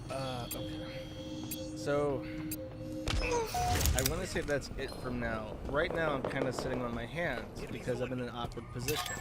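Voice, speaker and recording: A man streaming in a sarcastic, profane, exasperated rant, often halting mid-thought. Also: mid-range voice, fairly even intonation. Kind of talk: ranting game-stream commentary. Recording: loud game music and gunfire behind voice. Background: video game music and gunfire.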